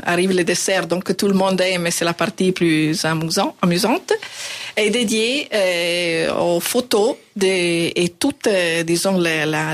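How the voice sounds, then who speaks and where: Hesitant, lower-voiced woman author. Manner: hesitant, with many fillers and self-corrections. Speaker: author, event organizer. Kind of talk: radio interview guest.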